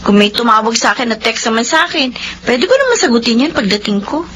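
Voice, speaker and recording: A woman's voice: a little high, lively intonation, fast, fairly bright tone, a little hiss or hum. An actress playing a modest, reluctant and dutiful young woman in a Filipino radio drama. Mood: casual, dutiful.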